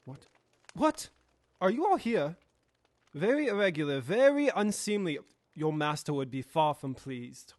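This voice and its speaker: Man acting fussy gentleman lawyer, formal, halting, anxious, lively swooping pitch, radio play, dry sound. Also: no echo. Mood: alarmed, stern. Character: proper, anxious gentleman lawyer, stern but concerned.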